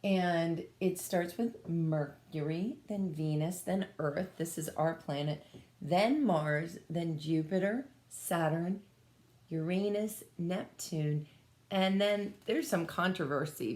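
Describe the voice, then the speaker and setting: A woman reading a picture book aloud as a teacher, pausing to explain and put questions to young children. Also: fairly low pitch, darkish tone. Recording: echoey room sound.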